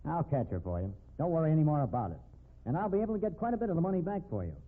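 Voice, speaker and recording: Crooked tough-guy detective, sneering and slangy, pitch jumping around, a bit high, old radio show. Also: very animated, rapid-fire. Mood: smug, scheming. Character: crooked bullying male private investigator, sneering blackmailer. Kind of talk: 1950s radio drama acting. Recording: tinny band-limited 1950s radio recording.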